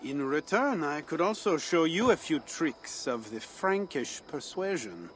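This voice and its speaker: A man's voice: on the high side, big pitch swings, a little slow, a bit bright, slight background noise. An actor playing a playful, pleasure-loving Frankish man in a video game, with a teasing, suave and theatrical manner. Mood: flirtatious. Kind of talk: dramatic video game cutscene acting. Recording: music under the voice.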